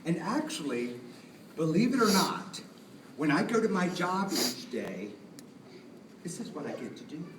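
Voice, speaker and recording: A man's voice: on the high side, very animated, unhurried, pauses often, background noise. A man, a puppeteer, playfully introducing a live show to children and putting questions to his audience. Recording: echoey room, distant mic, music behind.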